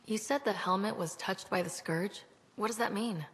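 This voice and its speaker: A woman's voice: mid-range voice, normal speed, fairly bright tone, slightly rough. A woman playing a frank, eager young space explorer in a video game, with a casual, direct delivery. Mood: curious.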